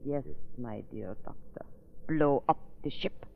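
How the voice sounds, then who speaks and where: A woman's voice: a bass voice, slow, gravelly, heavily acted. Scheming stepmother, imperious and theatrical, vintage radio drama, muffled hissy sound. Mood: cold, commanding. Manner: haughty, theatrical. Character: scheming, imperious villainess stepmother feigning grief. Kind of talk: 1940s radio acting. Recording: muffled, narrow, noisy old radio recording.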